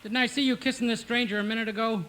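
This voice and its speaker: A man's voice: very high-pitched, fairly even intonation, rapid-fire, fairly bright tone. A man playing a boastful, threatening Yukon gunslinger, a theatrical comic villain in 1950s radio comedy. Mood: menacing. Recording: very noisy old 1950s radio recording.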